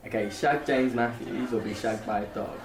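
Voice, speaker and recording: A man's voice: mid-range voice, normal speed, lightly acted. An actor playing a cocky, crude teenage boy in a radio play, goading and laddish. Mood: goading, amused. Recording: echoey room.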